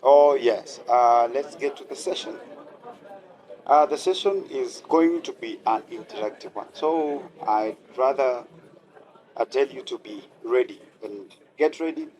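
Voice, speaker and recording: A man's voice: medium pitch, measured pace, a little mellow, natural voice, poor audio. A man playing a friendly doctor and counselor in a radio drama, engaging listeners as an interactive guest speaker. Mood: friendly, appreciative. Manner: engaging the audience with questions and thanks. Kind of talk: radio drama motivational talk.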